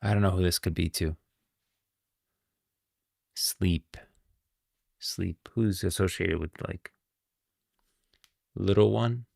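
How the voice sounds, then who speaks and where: A man with a very low voice and a lot of vocal fry gives casual streamer commentary. He muses aloud informally about what he is reacting to, recorded close and dry. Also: takes it slowly, pauses often, darkish tone, dry recording. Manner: informal, musing aloud, mild exclamations. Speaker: streamer, game lore commentator.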